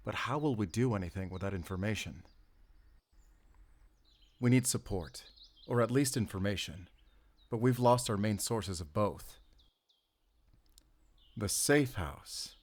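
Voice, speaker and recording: Man acting a low-voiced, weary monster hunter. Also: measured pace, pauses often, a little mellow. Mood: grim, thoughtful. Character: weary, determined male German werewolf hunter. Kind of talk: cinematic audio roleplay acting, addressing a silent companion. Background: cinematic ambient sound effects.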